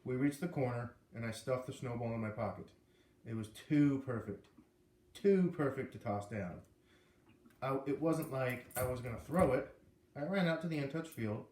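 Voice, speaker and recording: A man reading a children's novel aloud in an expressive storytelling way. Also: mid-range voice, moderate pace. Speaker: read-aloud reader. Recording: echoey room.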